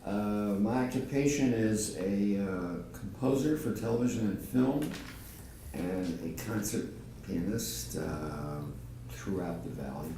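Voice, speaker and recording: A man in his seventies introducing himself to a focus group in a joking, unhurried way. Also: on the low side, mellow, slight background noise. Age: 70s. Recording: echoey hollow room, mic at a distance.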